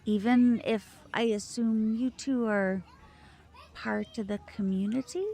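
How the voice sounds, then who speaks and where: A woman acting a protective magician mother in an audio drama, polite and measured, with a suspicious edge. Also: a little high, fairly even intonation, vocal fry, dark tone, exaggerated voice. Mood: polite, apologetic.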